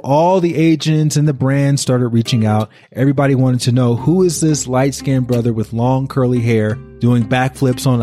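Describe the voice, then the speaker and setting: A man's voice: medium pitch, a little mellow. Upbeat podcast host man. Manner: enthusiastic, upbeat. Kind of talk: podcast introduction and interview.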